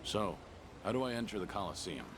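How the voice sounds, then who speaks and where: An actor voicing a stoic, tough underworld fighter in a video game, speaking in a terse, serious, guarded way. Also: male voice, lowish voice, expressive, a little fast, background noise, lightly acted. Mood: questioning.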